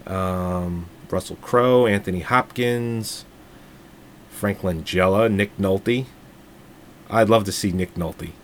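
A man talks films in a loose, casual podcast chat, with a fairly low voice. He jokes crudely and breaks into laughter often. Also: takes it slowly, slight background noise. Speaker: podcast co-host.

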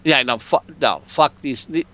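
A man's voice: mid-range voice, moderate pace, pushed and bright, dull audio. A man co-hosting a podcast, ranting in exasperated, heavily profane and opinionated casual talk. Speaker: podcast co-host. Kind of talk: casual, opinionated film chat.

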